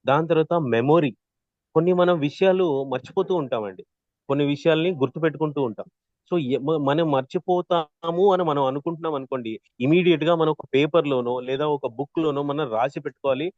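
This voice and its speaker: A man teaching an interactive online class as a trainer, explaining with examples and often checking in with a quick "right?". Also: a little high, a little mellow, dry recording, highs cut off. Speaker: voice modulation trainer.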